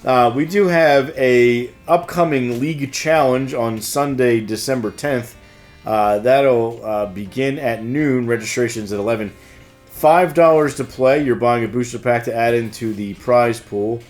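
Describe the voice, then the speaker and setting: A man's voice: medium pitch, measured pace, faint music behind. A man hosting a casual news show, hesitant and easygoing as he reads out announcements and banters. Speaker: host of a game shop news show.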